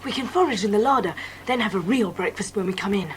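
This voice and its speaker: A woman's voice: medium pitch, animated, quick pace, slight background noise, natural voice. A woman playing an eager, earnest schoolboy in a dramatised audiobook, with polite, old-fashioned, keen speech.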